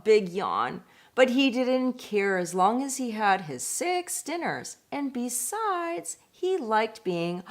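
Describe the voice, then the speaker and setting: Slow-paced woman reading a story aloud. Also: mid-range voice. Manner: expressive, deliberate, explains pictures to listeners. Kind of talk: read-aloud storytelling with chatty asides. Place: indoors at home.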